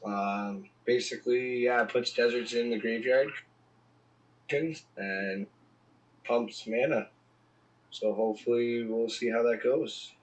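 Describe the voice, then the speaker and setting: A man speaks with a hoarse, worn voice after losing it. He chats casually as a player, pushing to be heard. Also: medium pitch, takes it slowly, pauses often, poor audio. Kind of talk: casual chat.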